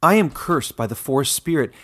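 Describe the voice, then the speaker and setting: A man voicing a young outcast log splitter in a fantasy story, emotional and halting, bitter yet humble. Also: mid-range voice, expressive, natural voice. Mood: bitter, anguished. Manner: halting, emotional, stammering apologies and protests.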